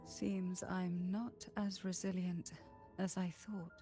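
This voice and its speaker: An actress playing a calm, mysterious woman companion in a fantasy video game, speaking in a measured, poetic, soothing way. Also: fairly low pitch. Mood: quietly reassuring. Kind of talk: dramatic video game voice acting. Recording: game music behind the voice.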